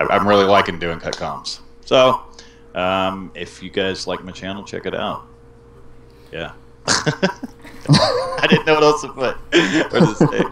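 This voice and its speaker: A man's voice: low-pitched, fairly bright tone, slight background noise. A man talking casually and frankly as an interview guest, loose and swearing now and then.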